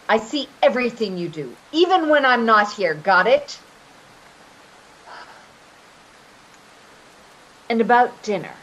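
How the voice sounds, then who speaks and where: A woman playing an evasive, manipulative caregiver in a readers theater drama, halting and repeating her words. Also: on the high side, a bit bright, a little hiss or hum, rough recording. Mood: bossy, threatening. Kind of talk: readers theater stage acting.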